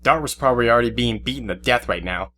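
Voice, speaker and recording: A man's voice: medium pitch, fast talker, a bit bright, a little hiss or hum. A man reading scripted story narration for an audio drama. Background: music, guitar.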